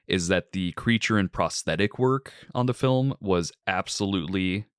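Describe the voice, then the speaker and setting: A male podcast host with a very low, smooth voice, speaking in measured, articulate sentences with the odd "like." The recording is dry and close, with no room sound. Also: no echo. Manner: articulate, measured, uses "like" fillers. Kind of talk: film review and interview hosting.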